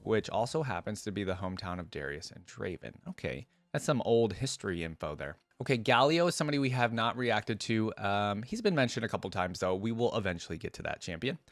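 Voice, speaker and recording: Low-voiced man reading aloud, dry close sound. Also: very animated, brisk, hardly any pauses, dry recording. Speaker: reaction video host. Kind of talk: reading aloud with casual commentary.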